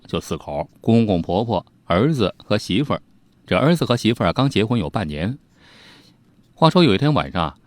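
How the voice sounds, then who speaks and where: A man's voice: medium pitch, darkish tone, no echo. A man telling an old crime tale in a dramatic, conversational way, with theatrical suspense and folksy asides. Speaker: storyteller.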